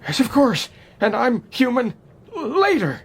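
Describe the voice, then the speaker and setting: An actor playing a lonely, bitter man of eighty in a radio drama, his voice creaky and quavering, halting and breaking into cries. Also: extremely high pitch, wildly swooping pitch, fairly bright tone, slight background noise, full character voice. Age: 80s. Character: anguished, lonely eighty-year-old man, bitter and raging. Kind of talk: old-time radio drama acting.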